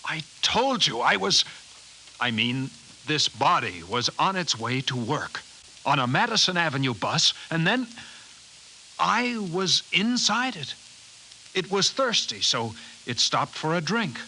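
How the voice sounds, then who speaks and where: An actor playing a timid, anxious Martian in a man's body, with halting, oddly formal speech, in 1950s radio drama style. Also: on the high side, very animated, sharp tone, heavily acted, poor audio. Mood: insistent. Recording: hissy 1950s radio recording.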